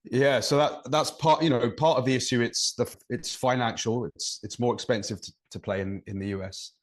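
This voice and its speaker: An English man of middle pitch who talks through his points with care and builds them up with examples. He speaks in a relaxed, conversational podcast manner. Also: moderate pace. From England. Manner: thoughtful, explains at length with examples. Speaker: referee and podcast co-host.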